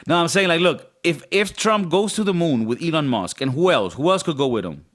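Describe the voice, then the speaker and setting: A man with a raspy voice talking as a podcast co-host in a rambling, joking, casually profane way. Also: a little high, lively intonation, brisk, a bit bright.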